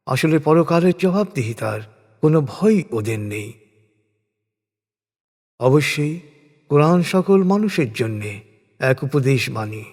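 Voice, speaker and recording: Slow, dramatic male narrator in deep reverb. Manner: measured, dramatic phrasing with deliberate pauses. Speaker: narrator of scripture translation. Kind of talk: solemn scripted religious recitation in prose. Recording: long hall-like reverb.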